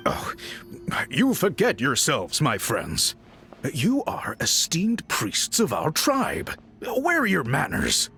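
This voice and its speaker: Polite authoritative chief for a game, formal, swoopy mid voice, music behind. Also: male voice, a little high, wildly swooping pitch, gravelly, sharp tone, heavily acted. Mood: gently reproving. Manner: formal, measured. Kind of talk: video game voice acting.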